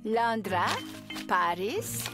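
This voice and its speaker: A woman's voice: medium pitch, very slow. A woman voicing a kind, curious mother pig in a children's cartoon. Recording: music behind the voice.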